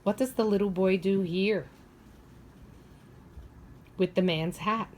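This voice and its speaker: A woman teacher reading a picture book aloud to young children, expressive and instructive, often pausing to ask them questions. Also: medium pitch, a little hiss or hum. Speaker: preschool or primary teacher.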